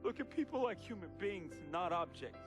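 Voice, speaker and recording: An actor playing a remorseful, devoted young man who confesses and says farewell in a dramatic video game monologue, with slangy phrasing and deliberate pauses. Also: sits high, sing-song. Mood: emotional, solemn. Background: music.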